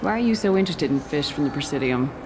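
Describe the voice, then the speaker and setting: No-nonsense commander, terse and direct, music under. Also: female voice, low-pitched, expressive, fast, low-quality recording. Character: steely female starship commander.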